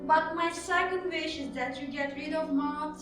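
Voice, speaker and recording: A woman playing a sweet, plain young woman in a readers theatre piece, speaking in a soft, wistful manner. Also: high-pitched, normal speed, distant mic, lightly acted. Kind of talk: readers theatre stage reading. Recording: echoey room from afar, music behind.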